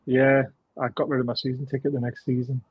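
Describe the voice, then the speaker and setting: A Geordie man with a husky voice is co-hosting a casual football chat. He is chatty and enthusiastic. His voice sounds dry and a little dull, as if coming over a lo-fi call. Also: mid-range voice, level tone, dry recording. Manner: chatty, enthusiastic, quick to laugh. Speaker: podcast co-host.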